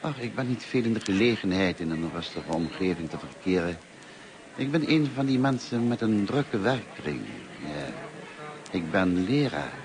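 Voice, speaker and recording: An actor in a Dutch radio play as a kindly, talkative, lonely middle-aged teacher, courteous and musing in manner. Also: male voice, sits low, lively intonation, normal speed, a crowd in the background. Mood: chatty, earnest. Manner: courteous, musing, hesitant and self-effacing. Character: kindly, talkative, lonely grey-haired male French teacher. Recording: noisy old radio play recording.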